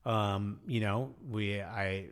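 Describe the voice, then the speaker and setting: A middle-aged man with a slightly low-pitched voice talks casually as a podcast co-host. His delivery is conversational and rambling, like someone thinking aloud with a familiar partner. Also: fairly even intonation, slow pace, smooth. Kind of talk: casual chat.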